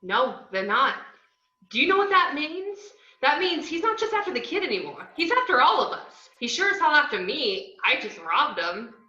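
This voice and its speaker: A woman playing a brash, playful, unhinged young antiheroine with animated, theatrical delivery in an amateur film script table reading on a video call. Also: high voice, normal speed, full character voice. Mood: urgent, bossy. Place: home, on a group video call. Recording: roomy, dull video-call audio.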